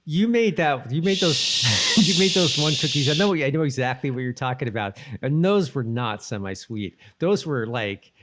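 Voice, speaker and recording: A man co-hosting a podcast in casual discussion, easygoing and halting as he works out his thoughts. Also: medium pitch, lively intonation, background noise. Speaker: podcast co-host.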